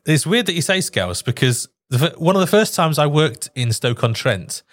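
A man's voice: on the high side, moderate pace. A man hosting a casual podcast, moving between topics and laughing easily with his guests. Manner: steers topics, laughs often, expressive.

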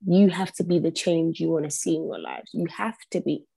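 A woman's voice: low-pitched, fairly even intonation, brisk, creaky, mellow, husky, dry recording. A young woman, a podcast guest whose first language is not English, speaking earnestly and repeating phrases for emphasis. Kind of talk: reflective podcast conversation.

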